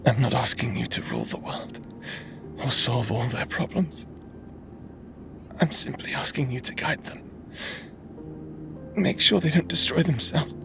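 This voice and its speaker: An actor playing a weary, tender husband in a dramatic audio roleplay, speaking wistfully and murmuring with breathy pauses. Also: male voice, mid-range voice, animated, music in the background. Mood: tender, solemn.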